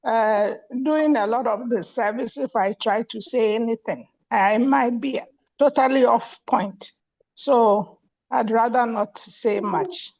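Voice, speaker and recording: Slow apologetic woman on a call. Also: fairly high pitch, vocal fry, a little mellow, rough recording. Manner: apologetic, hesitant. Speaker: meeting participant. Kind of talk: online meeting remarks. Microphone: video call.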